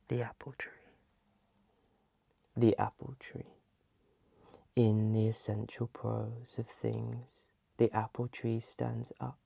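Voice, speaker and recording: A soft, breathy ASMR host reading in a hushed voice that often slips into a whisper. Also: medium pitch, a little hiss or hum.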